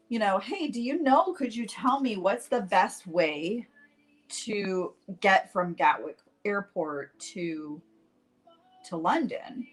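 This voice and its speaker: A middle-aged woman chatting casually to livestream viewers as she tells a personal story, acting out bits of dialogue in an animated, informal way. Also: fairly low pitch, rough recording. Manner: chatty, animated retelling with acted-out dialogue and frequent "like"; addresses viewers directly. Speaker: host painting live while telling stories.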